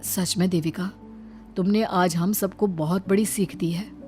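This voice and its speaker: A woman's voice: lowish voice, fairly even intonation, measured pace, over music, lightly acted. A woman voicing a mild middle-aged husband and father in a family storybook tale, earnest and subdued. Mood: grateful, earnest.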